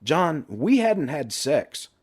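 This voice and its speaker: A man's voice: on the high side, sing-song, slow pace, breathy edge, pitched above the performer's own voice, full character voice. A man voicing a defeated, defensive middle-aged husband protesting to his friend in an audiobook. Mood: glum.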